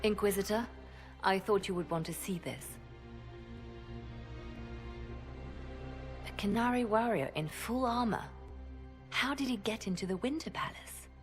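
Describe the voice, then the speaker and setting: A woman's voice: medium pitch, animated, normal speed, close to an everyday voice. A woman playing a cool, composed spymaster in a video game, giving reports in a controlled, matter-of-fact way. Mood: grave, analytical. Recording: soft music behind.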